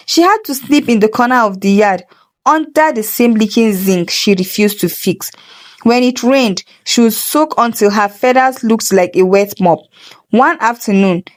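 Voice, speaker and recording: A woman with a Nigerian accent telling a folktale as a dramatic storyteller. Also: a little high. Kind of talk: dramatic folktale narration with character voices.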